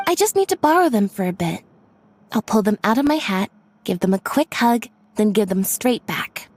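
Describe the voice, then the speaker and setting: A woman's voice: medium pitch, expressive, moderate pace, darkish tone, lightly acted. An actress playing a playful, coaxing young stage magician woman in a video game, speaking breezily.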